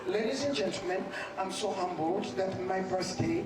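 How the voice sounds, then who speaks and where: A woman's voice: medium pitch, animated, normal speed. A woman in her fifties with a Ugandan accent, speaking as a pastor to her church congregation. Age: 50s. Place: church hall. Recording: echoey church PA, distant, loud music.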